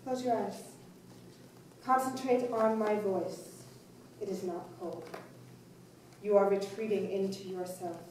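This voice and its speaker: Heard in a very echoey room from a distance, a woman playing an elderly blind soothsayer speaks with formal, hypnotic deliberation. The voice is soft-edged and mellow, grave and commanding, as in a staged play. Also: medium pitch, steady tone, slow, long pauses, close to an everyday voice. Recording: echoey room, distant mic, faint noise.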